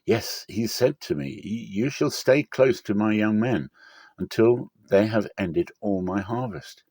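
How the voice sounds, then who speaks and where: A man's voice: fairly low pitch, slow pace, darkish tone, no room sound. A humble young widow from a scripture drama, voiced by a man, speaks with grateful deference. The manner is earnest and submissive, captured in a dry, close recording.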